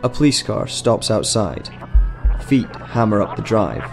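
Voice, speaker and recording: A man's voice: fairly low pitch, big pitch swings, a little slow, vocal fry. A man reading a scripted short story as a dramatic narrator. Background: music.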